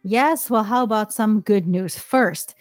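Woman news co-host, mid-pitched, crisp even reads, dry studio sound. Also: a little slow, no echo, faint music behind. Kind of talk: scripted news reading and casual banter.

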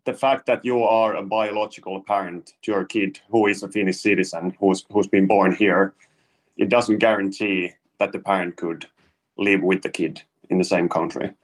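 Male reporter, low voice, halting, unscripted explanation. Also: steady tone. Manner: hesitant, searching for words. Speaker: investigative journalist. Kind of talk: interview answer.

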